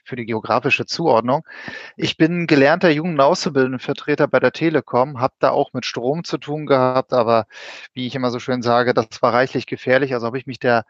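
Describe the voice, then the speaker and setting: A man's voice: mid-range voice, fairly even intonation, normal speed, no room sound. A man from Wismar in northern Germany, a podcast guest connected remotely, who talks fluently and lays out his points in order. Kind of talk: casual chat over a remote link. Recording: dry, dull remote audio.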